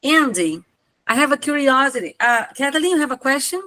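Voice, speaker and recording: A woman's voice: high-pitched, expressive, brisk, pushed and bright, no room sound, rough recording. A middle-aged woman moderating a seminar discussion informally, repeating phrases for emphasis. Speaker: seminar moderator and language teacher.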